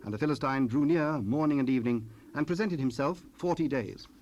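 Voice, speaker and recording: A man narrating scripture in a formal, solemn and measured way. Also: mid-range voice, a little mellow, muffled recording. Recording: faintly noisy cassette rip.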